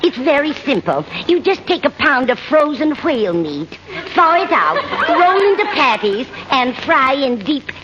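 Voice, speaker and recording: A woman's voice: high-pitched, very animated, a little slow. An actress playing a kindly, scatterbrained landlady, earnest and chirpy, in an old radio comedy. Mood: earnest, fond. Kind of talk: 1940s-50s radio comedy acting. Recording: muffled old radio, faint noise and audience.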